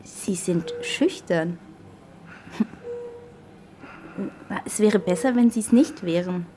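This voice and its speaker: A woman's voice: lowish voice, very slow, dark tone, a little hiss or hum. An actress playing a young, stubborn and forthright female nurse in a radio drama. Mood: brisk, teasing.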